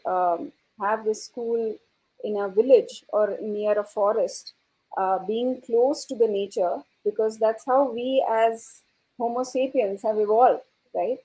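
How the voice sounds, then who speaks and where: A woman's voice: mid-range voice, warm tone, breathy edge, low-quality recording. A woman speaking as an educator on a panel, explaining her ideas. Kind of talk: explanatory panel discussion talk.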